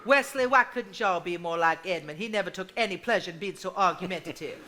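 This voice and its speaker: A woman's voice: on the low side, fairly quick, sharp tone, a little hiss or hum. A woman playing a prim, reproving Southern sister in a stage drama, genteel and needling. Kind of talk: live stage drama acting.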